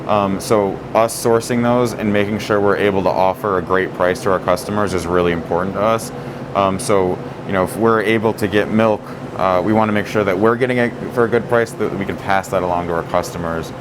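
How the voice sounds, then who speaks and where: Man, store manager, low voice, easygoing walk-through talk, steady background hum. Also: brisk. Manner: relaxed, many fillers and restarts. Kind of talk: casual store tour talk. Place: grocery store aisle.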